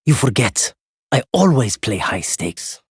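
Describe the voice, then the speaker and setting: An actor playing a sly, confident spy in a video game, with a husky voice. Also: male voice, mid-range voice, very animated, unhurried, dry recording, full character voice. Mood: taunting. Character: sly, confident male spy agent, gambler's swagger.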